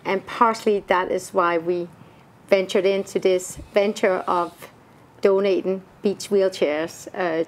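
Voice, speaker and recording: Earnest woman from Denmark, studio guest. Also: fairly low pitch. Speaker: guest, nonprofit founder. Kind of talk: interview answers.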